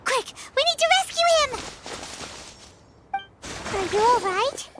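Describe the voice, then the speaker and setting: A woman's voice: animated, slow, fairly bright tone, exaggerated voice. A woman voicing a tiny childlike fairy companion in a video game, chirpy, nosy and exclamatory. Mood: startled, excitable. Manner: squeaky, exclamatory, talks about self in third person. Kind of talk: video game cutscene acting.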